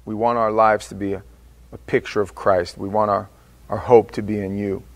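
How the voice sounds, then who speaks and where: A man preaching a sermon as pastor, dropping quiet and slow in prayer and rising loud and emphatic on his warnings. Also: on the low side, little pitch movement, creaky.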